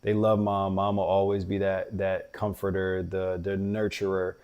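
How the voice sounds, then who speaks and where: A man with a deep voice, hosting a show and talking earnestly to fathers in a reflective, heartfelt monologue. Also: completely flat delivery, normal speed, highs cut off. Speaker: podcast host.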